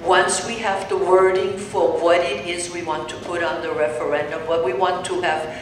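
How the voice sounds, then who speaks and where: A woman's voice: fairly low pitch, level tone, normal speed. An older woman from Austria with an Austrian accent, earnestly urging a small community meeting to volunteer, speaking into a handheld mic. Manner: earnest, urging, talks with hands. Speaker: meeting organizer. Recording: echoey room, far mic, noise.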